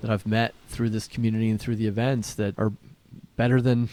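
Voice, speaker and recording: A man's voice: lowish voice, level tone, fairly quick, warm tone. A man co-hosting a writing podcast, talking casually and conversationally. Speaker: podcast co-host and writer.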